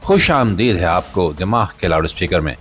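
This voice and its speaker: A man's voice: low-pitched, animated, moderate pace, a bit bright, soft background music. A man with a Pakistani accent hosting a satirical news show, speaking to the audience in a sarcastic, theatrical monologue.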